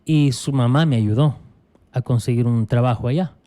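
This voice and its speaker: Salvadoran man with a dark, smooth voice. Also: mid-range voice, moderate pace. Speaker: pastor, guest. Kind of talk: casual interview, telling his life story.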